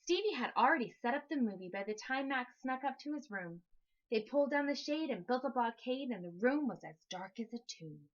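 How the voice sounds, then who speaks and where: A woman reading a rhyming children's story aloud in an expressive narrating style. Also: on the high side, brisk, dull audio. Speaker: storybook reader.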